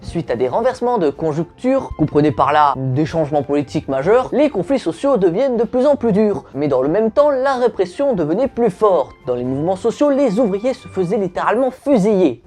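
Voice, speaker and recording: A woman's voice: medium pitch, very animated, a little fast, hardly any pauses, warm tone, faint music behind. A woman hosting a comic history explainer, casual and slangy with jokes. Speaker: host.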